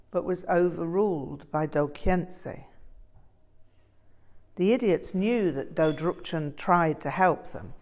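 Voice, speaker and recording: A woman's voice: on the low side, a little mellow. A woman reading an audiobook as an earnest, respectful Western male disciple who explains things emphatically. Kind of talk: audiobook character dialogue.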